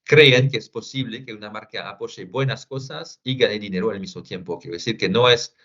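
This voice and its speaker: A man giving a business conference talk on consumer trends, speaking in a halting way with frequent pauses and restarts. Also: on the low side, a bit bright, muffled recording. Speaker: market research presenter.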